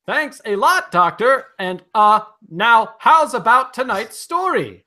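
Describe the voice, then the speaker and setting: A woman's voice: on the high side, big pitch swings, slow pace, pushed and bright, full character voice. A woman playing a cheerful, eager announcer in an old-time radio show. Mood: cheery, eager.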